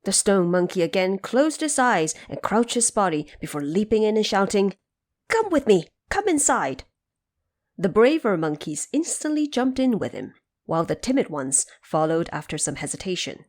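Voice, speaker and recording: A woman storyteller giving an expressive, theatrical narration of a scripted storybook audio drama. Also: medium pitch, normal speed.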